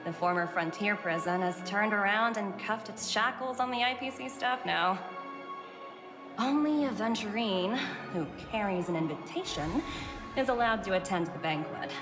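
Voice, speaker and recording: A woman voicing a poised, brisk young corporate executive in a video game, composed and businesslike. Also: mid-range voice, natural voice. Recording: background music under the voice.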